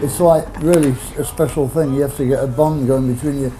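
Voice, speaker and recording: Joking rock musician over music. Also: male voice, mid-range voice, steady tone, fairly quick, poor audio. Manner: joking, rambling with frequent "you know". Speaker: band member. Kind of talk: casual interview chat. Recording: music playing underneath.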